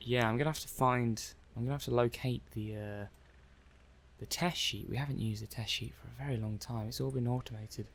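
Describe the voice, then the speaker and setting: A man's voice: medium pitch, steady tone, a little fast, a little hiss or hum, lightly acted. A man playing a mild-mannered, puzzled robot-testing technician in an ASMR roleplay, hesitant and muttering to himself. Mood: perplexed. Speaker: roleplay performer.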